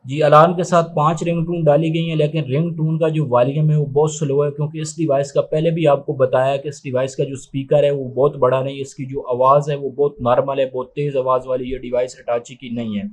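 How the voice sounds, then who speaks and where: A man's voice: medium pitch, little pitch movement, a little fast, hardly any pauses. A man doing an unscripted gadget unboxing and review, explaining step by step in a methodical, matter-of-fact way. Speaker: tech reviewer presenting a phone unboxing. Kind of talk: unscripted step-by-step product demonstration.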